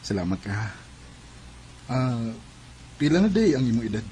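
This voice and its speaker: An actor playing an earnest grown suitor in a radio drama, with a Cebuano Filipino accent. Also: male voice, medium pitch, very animated, unhurried, dark tone, slight background noise, low-quality recording. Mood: earnest, courting. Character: earnest male suitor, older than his sweetheart.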